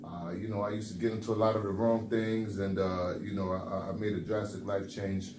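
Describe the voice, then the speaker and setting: A man's voice: on the low side, flat delivery, a little fast, crowd noise. A young man from the Bronx, co-hosting a motivational podcast in a laid-back way, full of fillers. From the Bronx, New York. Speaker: podcast co-host. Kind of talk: casual motivational chat. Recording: echoey room with background noise.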